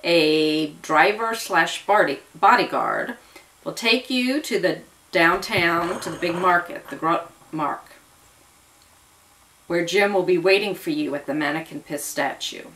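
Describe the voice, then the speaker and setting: A polite, businesslike man from a dramatic story, voiced by a woman. He gives secret instructions in a measured, serious manner. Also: lowish voice, a bit bright, low-quality recording. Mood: serious, cautioning.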